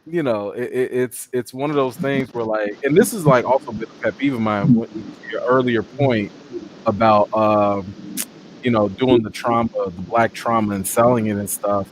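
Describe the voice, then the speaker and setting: A man's voice: normal speed, a little hiss or hum, low-quality recording. A man, a movie reviewer as interview guest, talking in a casual, passionate way. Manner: animated, passionate, jumps up in pitch for quoted voices. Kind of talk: casual conversation.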